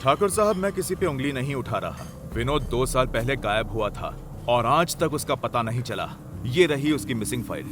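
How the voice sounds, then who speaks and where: An actor playing a shrewd, composed police inspector in a motion comic mystery, questioning in a pointed, insistent way. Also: male voice, mid-range voice, very animated, normal speed, natural voice. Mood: accusing. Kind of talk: Hindi motion comic acting. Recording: music behind the voice. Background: music.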